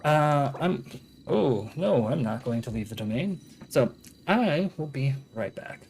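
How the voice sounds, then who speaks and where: A woman with an unusually deep voice gives casual commentary on a game stream. She is wry and offhand, talking back to the game. Soft game music sits behind her. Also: expressive, unhurried. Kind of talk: casual live gameplay commentary.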